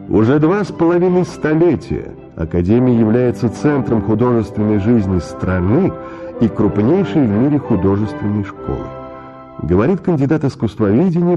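A man's voice: medium pitch, dull audio. A man narrating a scripted radio documentary feature.

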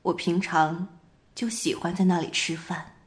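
A woman playing a troubled half-French wife who recounts a recurring dream in a measured amateur radio play monologue, with deliberate phrasing and pauses. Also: on the low side, little pitch movement, natural voice. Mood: weary, lost.

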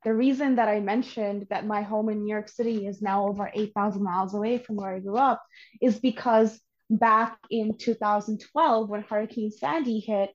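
A young woman in her twenties from Bangladesh, a climate organizer explaining her views earnestly as a podcast guest. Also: medium pitch. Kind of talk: podcast interview. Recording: dull lo-fi audio.

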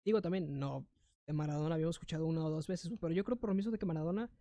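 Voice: medium pitch, racing, dark tone, no room sound. A young adult with a Mexican accent in casual, slangy chat, rambling with lots of fillers and restarts. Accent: Mexican Spanish.

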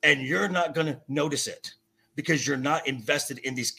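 A man with a bright, forceful and somewhat high voice that has a raspy edge. He argues like an indignant podcast guest, hammering points home and tripping into stammered repetitions. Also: moderate pace. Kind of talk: heated debate about comic adaptations.

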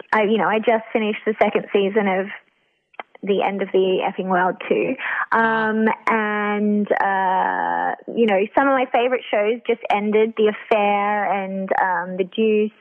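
A woman's voice: medium pitch, steady tone, unhurried. A woman chatting casually and informally as an interview guest, with a giggly way of talking. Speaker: interview guest, actor and writer. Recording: tinny phone line.

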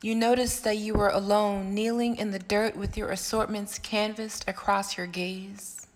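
A woman narrating a poetic audio drama as a scripted piece, with dramatic, deliberate phrasing and pauses. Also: medium pitch, flat delivery. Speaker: poet and narrator.

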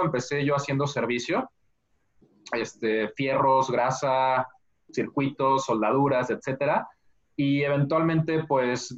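A man from Mexico City with a Mexican accent, a guest telling his life story in a relaxed interview, full of filler words. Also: mid-range voice. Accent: Mexican Spanish. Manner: many fillers like "este" and "¿no?". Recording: dull lo-fi audio.

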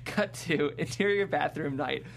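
A woman's voice: sits low, moderate pace, creaky, faint music behind. A woman reading out screenplay stage directions as a narrator. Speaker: script narrator.

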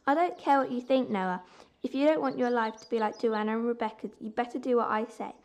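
A woman's voice: fairly high pitch, fast, darkish tone, lightly acted. A female performer playing a bossy, threatening teenage bully in a wartime orphanage drama, domineering and sneering.

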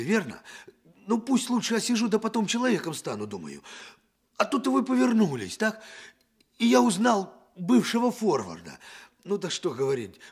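An actor playing a roguish young thief in a radio play, colloquial and wheedling. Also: male voice, high voice, slightly rough, full character voice. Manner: wheedling, colloquial, theatrically expressive. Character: roguish young male Odessa thief, cheeky yet pleading. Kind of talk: Soviet radio play acting.

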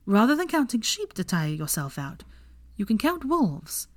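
Lady doing a young witch, high lilting voice, gentle n sure of herself, storybook read. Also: sing-song, normal speed, dry recording. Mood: gentle, encouraging. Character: bright, warm-hearted, self-assured young witch.

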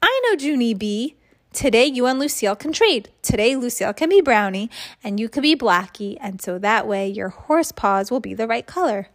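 A woman's voice: a little high, animated, normal speed. A woman voicing an eager young schoolgirl in a children's storybook, with simple childlike talk. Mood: excited. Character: eager young girl, a schoolmate playing horses. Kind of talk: children's storybook read aloud.

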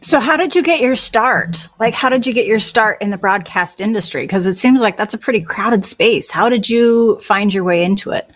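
A woman's voice: medium pitch, lively intonation, normal speed, hardly any pauses. Chatty woman podcast interviewer. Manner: friendly, chatty, uses "like" and "um" often. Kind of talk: casual interview chat.

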